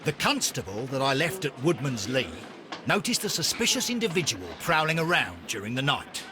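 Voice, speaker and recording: Man as a lively, smug police inspector. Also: medium pitch, normal speed, noisy background, close to an everyday voice. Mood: smug, eager. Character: smug, eager male Scotland Yard police inspector. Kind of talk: scripted video game dialogue. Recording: clearly audible background ambience.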